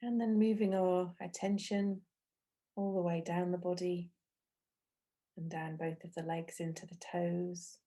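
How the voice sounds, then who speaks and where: A woman leads a guided meditation in a soft-edged, very mellow voice that sits fairly low. She speaks gently and without hurry, as a meditation teacher, leaving long pauses between short phrases. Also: level tone.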